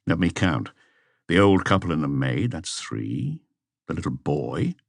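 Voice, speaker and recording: A man's voice: low-pitched, very animated, measured pace, vocal fry, no echo, clean studio sound, exaggerated voice. A man voicing a terse, understated police detective chief inspector in an audiobook.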